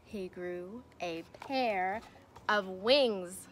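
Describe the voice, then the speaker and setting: A woman's voice: mid-range voice, extremely slow. Young woman reading a storybook aloud, outdoor noise. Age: young adult. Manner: expressive storytelling delivery with emphatic swells. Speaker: teacher reading aloud to pupils. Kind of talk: children's storybook read-aloud. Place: outdoors in a fenced backyard. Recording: noticeable outdoor ambient noise.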